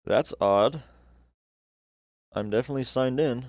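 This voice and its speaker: A man's voice: medium pitch, unhurried, a little mellow, dry recording, clean studio sound. A young man hosting a livestream, chatting casually and cursing as he reacts to videos with viewers. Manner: laid-back, swears casually. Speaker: livestream host.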